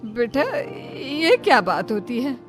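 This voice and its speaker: A woman's voice: a little high, sing-song, slow pace. A woman voicing an ailing, middle-aged mother-in-law in a cartoon, domineering and given to complaint. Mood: indignant, possessive. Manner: complaining, indignant way of talking. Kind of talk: cartoon moral-story dialogue. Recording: music behind the voice. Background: music.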